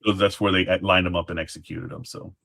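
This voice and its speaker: A man with a low-pitched voice speaks as a guest in a casual interview. He explains things informally and haltingly, often trailing into asides as he tells his stories. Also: level tone, gravelly, sharp tone. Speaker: guest, former Alamo guard.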